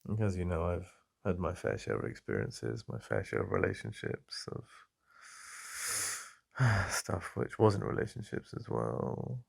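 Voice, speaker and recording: An English man speaking softly and intimately in a boyfriend ASMR roleplay, halting and heartfelt. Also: low voice, very animated, gravelly, slightly rough.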